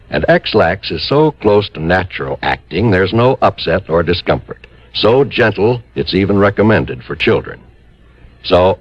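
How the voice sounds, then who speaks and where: A man's voice: deep voice, no room sound. A man announcing on 1950s radio, reading scripted advertising and credits into a close studio microphone. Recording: muffled old radio broadcast, faint music.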